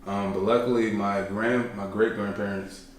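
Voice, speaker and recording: Podcast guest, young man, deep voice, easygoing and rambling, recorded in a boomy room. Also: steady tone, moderate pace, a little hiss or hum. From Chicago, South Side. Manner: laid-back, rambling, joking, trails off often. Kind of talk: casual conversational interview. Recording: echoey room, mic at a distance.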